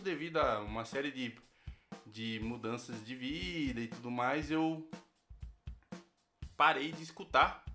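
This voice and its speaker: Brazilian guy podcaster, slightly high voice, casual testimonial, bit of music behind. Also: sharp tone. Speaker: podcast host, guest contributor.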